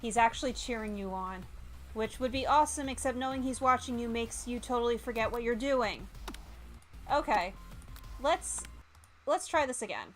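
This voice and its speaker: A woman's voice: fairly high pitch, normal speed, faint music behind. A woman doing live game stream commentary, reading on-screen text aloud and breaking into exasperated exclamations. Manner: exclaims loudly in exasperation, mutters while reading. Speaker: VTuber streamer.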